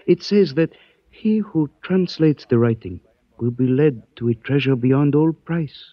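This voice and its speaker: An actor playing a suave male antique dealer who speaks in stilted, formal phrases, measured and evasive, in 1940s radio style. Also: fairly high pitch, dry recording. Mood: cryptic, courteous. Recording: dull dry old radio recording.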